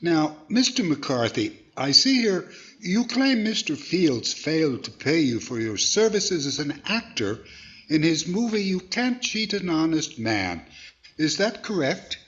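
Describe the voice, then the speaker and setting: An actor playing a stern, formal courtroom judge, exasperated and admonishing, in a 1940s radio comedy. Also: male voice, fairly high pitch, sing-song, unhurried, a bit bright. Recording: dull audio with faint noise, clicks.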